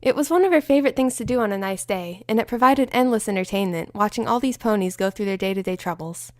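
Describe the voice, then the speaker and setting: A woman narrating a fanfiction story aloud in an expressive storytelling manner. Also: mid-range voice, quick pace, no echo, clean studio sound. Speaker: fanfiction reader. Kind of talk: audiobook-style story narration.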